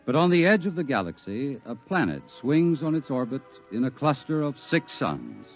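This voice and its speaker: Male radio-drama narrator, dramatic, measured, lively pitch, faint music underneath. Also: poor audio.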